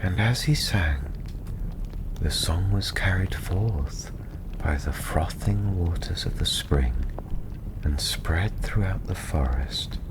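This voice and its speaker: A softly spoken, half-whispering storyteller narrating a fantasy bedtime tale in a soothing, unhurried ASMR style. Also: deep voice, animated. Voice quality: soft, breathy, half-whispered. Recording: constant ambient noise behind the voice. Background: ambience, crackling tavern fire.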